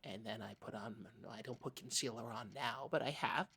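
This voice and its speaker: A woman's voice: rumbling low, fairly quick, creaky, fairly bright tone, slightly rough, heavily acted. A woman playing an awkward, oversensitive radio co-host in improvised comedy, hesitant and stammering, often repeating herself. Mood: distracted, eager. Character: awkward, oversensitive, easily distracted public-access co-host. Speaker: podcast co-host.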